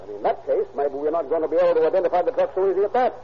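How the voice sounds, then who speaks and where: A brisk, husky police detective. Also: male voice, fairly high pitch. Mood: thoughtful. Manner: brisk, dry, questioning. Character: steady, no-nonsense male police detective. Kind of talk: 1940s radio acting. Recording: muffled old radio recording.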